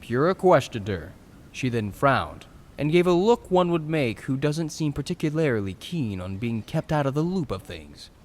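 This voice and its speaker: A man's voice: medium pitch, sing-song, moderate pace, darkish tone. A man reading scripted narration for a fantasy audio drama.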